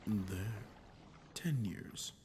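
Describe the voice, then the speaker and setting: A man voicing a sadistic, dominant demon in an ASMR roleplay, speaking with deliberate, taunting condescension. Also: lively intonation. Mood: smug, teasing. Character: sadistic archdemon, smug, menacing, dominant toward a human. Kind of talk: ASMR roleplay audio acting. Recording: clear background noise with low rumble.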